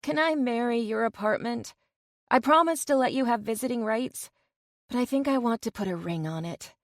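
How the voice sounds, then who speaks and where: A woman voicing an excitable, playful young woman in an audiobook. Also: fairly high pitch, moderate pace, dry recording, studio quality, close to an everyday voice. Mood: playful, eager.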